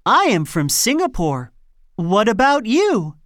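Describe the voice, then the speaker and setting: A woman reading scripted lines and answer options for an English listening test, slow and carefully enunciated. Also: medium pitch, wildly swooping pitch, no room sound, studio quality.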